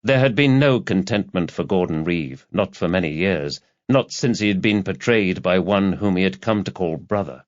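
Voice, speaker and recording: A man with a fairly low voice reads a literary thriller as an audiobook narrator. His delivery is measured and dramatic, like scripted storytelling. The sound is very dry and slightly dull, with no room around the voice. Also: expressive, no echo.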